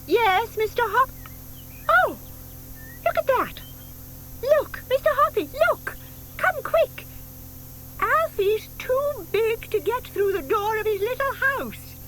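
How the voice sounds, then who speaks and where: An actress playing a sweet, excitable older British lady who dotes on her pet, cooing and gushing in an audio drama. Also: extremely high pitch, slow, long pauses, exaggerated voice. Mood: excited. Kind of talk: audio theatre acting. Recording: faint noise, 1990s tape dramatisation.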